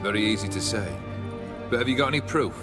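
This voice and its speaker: A man's voice: fairly low pitch, fast talker, loud music behind the voice, close to an everyday voice. An actor playing a haughty, skeptical nobleman in a video game, mocking and imperious. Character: haughty, sardonic cursed nobleman, male, skeptical.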